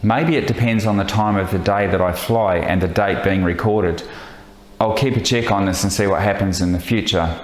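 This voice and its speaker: Low voiced guy doing pilot vlog narration, methodical tech explaining, roomy echoey sound. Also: normal speed. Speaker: pilot and host of flying vlog.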